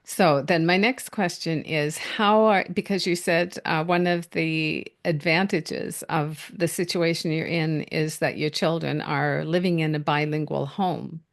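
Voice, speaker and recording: Lower-voiced woman host, gently affirming. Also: fairly even intonation, unhurried, few pauses. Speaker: podcast host. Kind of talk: interview conversation.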